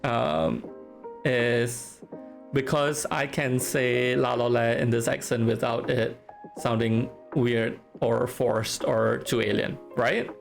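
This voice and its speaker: A man's voice: mid-range voice, flat delivery, slow pace, gravelly, faint music behind. A man from Singapore with a Singaporean English accent, chatting casually on a live stream, teasing, reading chat aloud and laughing often.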